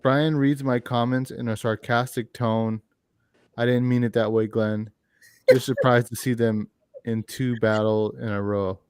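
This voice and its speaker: A man co-hosting a livestream over a video call, reading viewer comments in a flat, dry way. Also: medium pitch, unhurried, clear, resonant tone, no echo. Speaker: livestream co-host. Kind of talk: casual chat, reading comments.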